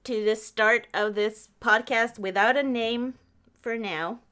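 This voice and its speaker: A woman's voice: on the high side, fairly even intonation, takes it slowly, a bit bright, clear, resonant tone. A woman hosting a podcast in a casual live chat, nervous and giggly. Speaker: podcast host.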